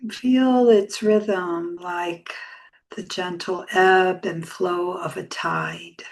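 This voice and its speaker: Casting: female meditation guide, lower-pitched voice, very slow delivery, soft and soothing, gentle pauses. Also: steady tone, low-quality recording. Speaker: yoga meditation teacher.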